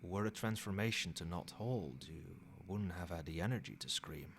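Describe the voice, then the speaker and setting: A man plays an ancient male vampire sire with a low, soft-spoken voice. He talks in measured, formal phrases, soothing yet possessive, in the intimate style of an ASMR roleplay. There is noticeable noise behind the voice. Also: lively intonation. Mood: grave, confessional.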